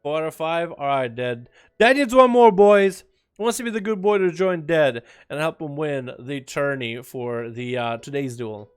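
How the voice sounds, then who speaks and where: A young man with a slight Russian accent chats loosely on a game stream, joking and calling his viewers "boys". His voice sits a little above the usual pitch for a man. Faint music plays behind him. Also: lively intonation, normal speed, smooth. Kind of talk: casual livestream chat.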